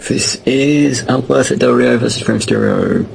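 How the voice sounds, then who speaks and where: A man's voice: medium pitch, wildly swooping pitch, normal speed, gravelly, background noise. A man teasing his friends sarcastically in a casual podcast group chat. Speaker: podcast panelist.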